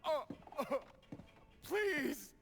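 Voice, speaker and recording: An actor playing a terrified American low-level gangster who pleads, stammers and swears in a video game crime drama. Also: male voice, big pitch swings, drawn-out words, a bit bright, faint music behind, exaggerated voice. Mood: frightened pleading.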